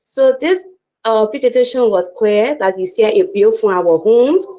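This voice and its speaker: A young woman with a Liberian accent speaks in a fairly high voice. She gives earnest, appreciative feedback as a participant on an online call. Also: a little slow, warm tone, muffled recording. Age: young adult. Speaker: training participant. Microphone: video call microphone.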